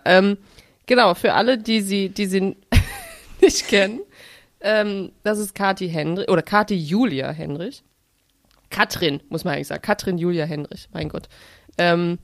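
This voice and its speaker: A woman's voice: mid-range voice, dry recording. A woman hosting a casual podcast chat and interviewing a guest in an informal way.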